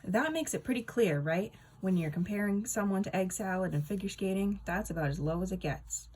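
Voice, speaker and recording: A woman reading a children's book aloud as a storyteller, with expressive, dramatic and emphatic delivery. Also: mid-range voice, brisk, breathy edge.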